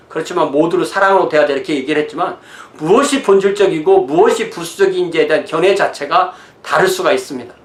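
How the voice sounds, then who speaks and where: A man, a pastor giving an informal, conversational lecture in an explanatory way. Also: sits high. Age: 60s. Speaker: pastor teaching church history. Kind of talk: informal lecture mixing notes and asides. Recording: roomy, echoing sound.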